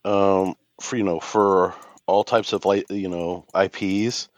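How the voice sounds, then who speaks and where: A man's voice: low-pitched, steady tone, slow, no echo. A man co-hosting a podcast, chatting casually in loose, conversational asides. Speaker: podcast co-host. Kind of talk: casual chat.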